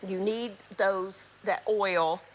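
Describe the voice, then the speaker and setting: A middle-aged woman, raspy from a cold, chattily walking viewers through a recipe on a live TV cooking show. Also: mid-range voice, fairly even intonation, slow, noisy background. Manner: chatty instructional, throat clearing. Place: TV studio kitchen.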